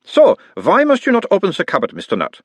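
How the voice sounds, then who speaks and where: A man's voice: high-pitched, huge pitch swings, fairly quick, dry recording, muffled recording, pitched above the performer's own voice, exaggerated voice. A man voicing an orc who plays his own analyst, asking mild, coaxing questions in an Uberwaldian accent.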